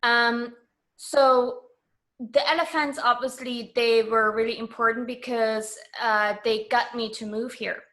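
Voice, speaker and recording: Higher-voiced woman from Germany, chatting candidly. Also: steady tone, unhurried, a bit bright. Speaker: interview guest. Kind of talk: casual interview chat.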